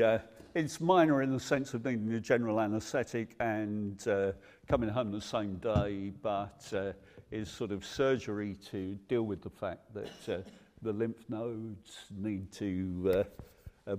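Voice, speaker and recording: A man in an informal sermon as pastor, chatty and halting with many ums and uhs. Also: lowish voice, fairly even intonation, a little mellow. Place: church hall. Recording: long hall reverb.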